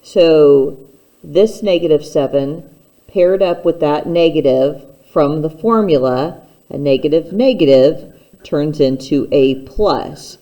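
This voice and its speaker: A woman's voice: low-pitched, slow pace, dark tone, highs cut off. A woman teacher giving a step-by-step instructional math lesson, speaking plainly and patiently straight to the learner.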